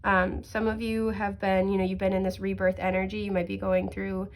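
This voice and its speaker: A sniffly woman reading tarot cards in a chatty, reassuring way, with many fillers and soft laughs. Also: mid-range voice, completely flat delivery, brisk. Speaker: tarot card reader. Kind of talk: casual pick-a-card tarot reading.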